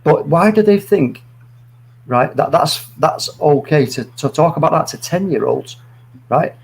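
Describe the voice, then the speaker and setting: A man's voice: a little high, animated, measured pace, creaky. A man in his late forties, a guest on a live stream, giving his views earnestly and rambling through many restarts. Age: 40s. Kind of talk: opinionated live chat.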